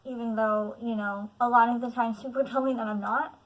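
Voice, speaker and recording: Young woman vlogger, late teens, nasal with a lisp, slightly high voice, halting and candid with lots of fillers. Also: steady tone, poor audio. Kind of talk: personal confessional vlog.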